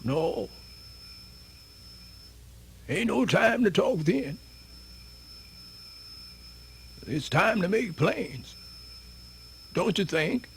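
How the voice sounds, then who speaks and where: An actor playing a spirited, folksy elderly man with a Southern US accent, rambling and stammering through a radio theatre monologue in a raspy voice. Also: sing-song, very slow, pauses often, a bit bright, slight background noise, heavily acted. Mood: measured, resolute. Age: older. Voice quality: raspy, creaky, high-pitched.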